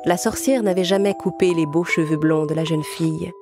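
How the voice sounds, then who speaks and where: A woman's voice: lowish voice, steady tone, fairly quick. A woman reading a scripted fairy tale as a storyteller. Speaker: narrator. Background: music.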